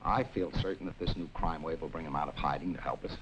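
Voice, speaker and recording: An actor playing a self-assured, guarded district attorney in a 1940s film serial. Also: male voice, rumbling low, fast. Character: confident, unflappable male district attorney hero. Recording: noisy 1940s film soundtrack.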